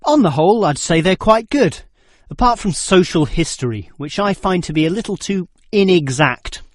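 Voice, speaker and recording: Male student played by a man, young, critical and precise, animated intonation, scripted dialogue, dry acoustic. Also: sits high, moderate pace, dry recording. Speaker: student in a listening test dialogue. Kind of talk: scripted exam dialogue acting.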